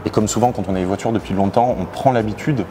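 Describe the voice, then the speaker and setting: A man's voice: lowish voice, steady tone, fast talker. A man chatting casually as he explains things like a garage owner, often hesitating and searching for his words. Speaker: garage owner showing customers' cars. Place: large workshop hangar, indoors. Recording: clear background noise in a garage.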